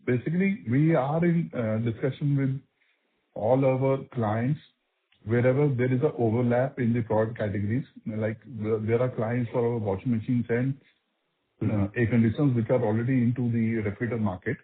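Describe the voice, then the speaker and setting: A thin telephone line carries a man's medium-pitched voice with an Indian accent. He speaks as a company executive, giving answers on an earnings call. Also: steady tone, normal speed. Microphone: telephone conference line. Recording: narrow, tinny phone conference line.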